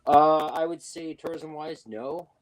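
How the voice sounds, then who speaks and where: A man's voice: a little high, fairly even intonation, unhurried. A young man chatting casually as a guest, rambling with fillers and restarts. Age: young adult. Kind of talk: casual chat. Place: New York.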